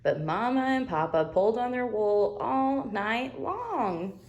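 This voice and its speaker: A woman reading a children's storybook aloud in an expressive narrating style. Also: fairly high pitch, slow, muffled recording. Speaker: storybook reader.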